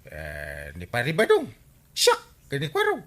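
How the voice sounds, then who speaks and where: An actor playing a flustered, bumbling village captain in an Ilocano radio comedy drama, exclaiming and stammering with an Ilocano accent. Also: male voice, mid-range voice, huge pitch swings, measured pace, a bit bright. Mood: sheepish, nervous. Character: blustering, excitable village captain, a comic bumbler.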